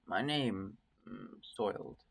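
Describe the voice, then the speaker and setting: A man voicing a possessed young man, with a deep raspy voice and halting, grunting speech in an odd accent. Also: lively intonation, fairly bright tone, no room sound, full character voice. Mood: eerie, strained.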